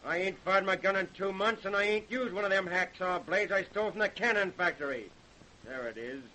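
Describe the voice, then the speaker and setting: An actor playing a defiant, surly, rough-spoken fisherman in an old-time radio drama, curt and stubborn in his talk. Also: high-pitched, brisk, pushed and bright. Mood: sullen, stubborn. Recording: faintly noisy old radio recording.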